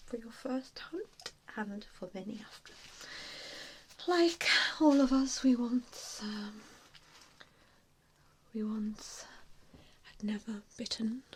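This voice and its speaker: A woman performing a softly spoken ASMR roleplay as a gentle, reassuring vampire mentor, with a soothing, hesitant manner. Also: a little high, slight background noise, poor audio.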